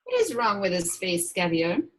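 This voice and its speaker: A sinister witch villain, acted by a woman in a table read, husky and wildly swooping in pitch. She is imperious and mocking, drawing out her words and cooing mock-sweet endearments as menace. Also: wildly swooping pitch, normal speed. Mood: imperious, probing. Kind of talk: table read of a film script.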